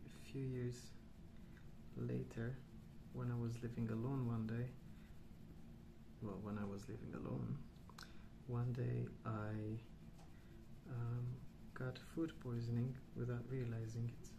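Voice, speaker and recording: Soft spoken asmr guy rambling slowly, gravelly fry in his voice, noticeable noise and pencil scribbling behind. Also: medium pitch, flat delivery. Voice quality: soft, hushed. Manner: unhurried, halting, trailing off. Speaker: ASMR video host. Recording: clear background noise.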